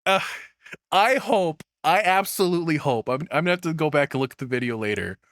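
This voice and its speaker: A man's voice: on the high side, expressive, a little fast, fairly bright tone. A man doing casual live reaction commentary as a streamer, rambling and swearing in an informal way.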